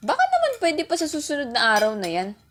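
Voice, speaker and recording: A woman's voice: high-pitched, huge pitch swings, fast talker. A woman playing a caring, hard-pressed mother in an amateur radio drama, with faint noise behind her. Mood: apologetic. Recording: faint noise, clicks and clatter.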